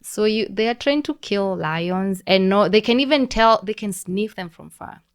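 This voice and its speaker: A young woman with a Kenyan accent, a podcast guest explaining things in a relaxed, teacherly way with frequent restarts. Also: medium pitch, normal speed, clear, resonant tone. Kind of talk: casual conversational explaining.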